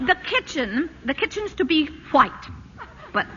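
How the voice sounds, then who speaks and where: An actress playing a fussy, witty suburban wife and mother in a 1940s radio play, precise with her instructions and quick to laugh. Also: high voice, very animated. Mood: particular. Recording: hissy old radio broadcast.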